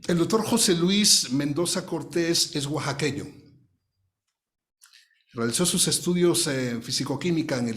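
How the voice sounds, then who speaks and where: A man hosting an interview in a formal, courteous way, with measured, deliberate phrasing. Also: mid-range voice. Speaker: host, interviewer.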